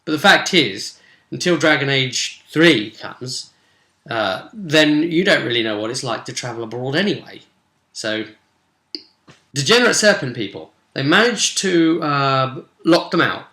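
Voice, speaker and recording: Casting: male game master for casual session recaps, enthusiastic and rambling, sharp bright delivery, audibly echoey room. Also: medium pitch. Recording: roomy echo.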